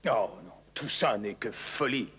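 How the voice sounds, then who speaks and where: Noble cartoon king: husky voice, wide-swinging delivery, regal, commanding and righteous. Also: mid-range voice, animated, measured pace, fairly bright tone, full character voice. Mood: incredulous. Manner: declamatory, regal. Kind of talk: dubbed cartoon acting.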